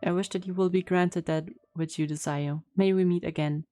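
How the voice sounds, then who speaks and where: A woman's voice: on the low side, steady tone, normal speed, mellow. A woman streamer giving a casual game reaction, chatting and reading game text aloud. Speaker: gaming streamer. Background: faint game music.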